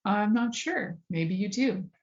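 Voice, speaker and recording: A woman's voice: medium pitch, animated, slow pace. A woman speaking candidly in a casual monologue, rambling and correcting herself, often laughing. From Serbian family background. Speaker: host. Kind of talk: casual monologue on health and sexuality. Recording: dull lo-fi sound.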